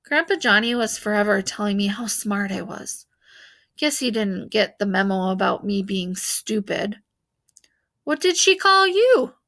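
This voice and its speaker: A woman reading a children's novel aloud as an expressive storyteller, narrating in the first person. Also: medium pitch, normal speed, vocal fry.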